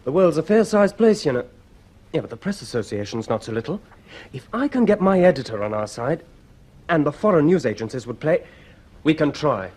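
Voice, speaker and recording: A male actor with a British accent playing a keen, informal journalist in a radio serial, husky and conversational. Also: on the high side, sing-song, fairly quick, a little mellow. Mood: wry.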